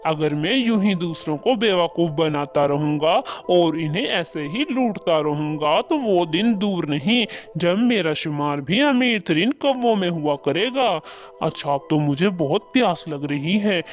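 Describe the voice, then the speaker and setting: A man's voice: on the high side, lively intonation, measured pace. A man plays a greedy male crow running a samosa stall in a cartoon. The crow is a boastful, wheedling bully who scolds and swindles. Music plays underneath. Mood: smug. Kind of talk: Hindi cartoon voice acting.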